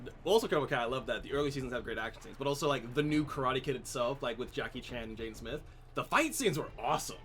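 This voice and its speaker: A young man hosting a casual chat while playing a game, excitable and jumping between topics. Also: medium pitch, quick pace, a little hiss or hum. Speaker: channel host.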